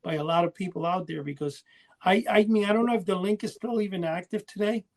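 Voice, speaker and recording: A man's voice: high-pitched, fast talker, a crowd in the background. A man chatting casually as a podcast co-host, rambling and often losing his thread. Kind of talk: casual chat about collecting.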